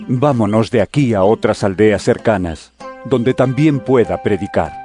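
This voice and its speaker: An actor playing Jesus as an authoritative holy teacher in an audio Bible drama, speaking in firm, deliberate commands. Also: male voice, lowish voice, dark tone, lightly acted. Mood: firm, assured. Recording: music behind the voice.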